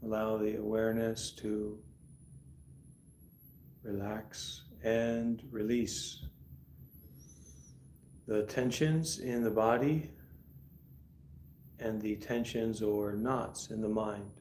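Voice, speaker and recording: A man guiding a meditation calmly and deliberately, speaking in short instructive phrases with long pauses between them. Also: fairly low pitch, slight background noise. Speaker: meditation teacher. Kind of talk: guided meditation.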